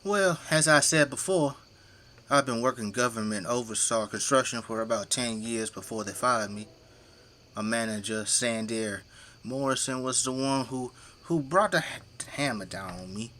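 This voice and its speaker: A man's voice: mid-range voice, moderate pace, sharp tone, a little hiss or hum, lightly acted. An actor playing a folksy, teasing middle-aged Midwestern family man who works as a zombie-fighting janitor in an audio drama. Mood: relaxed, candid.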